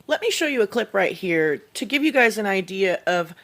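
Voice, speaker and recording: A woman's voice: medium pitch, lively intonation, moderate pace. An American woman narrating opinion commentary as a video creator.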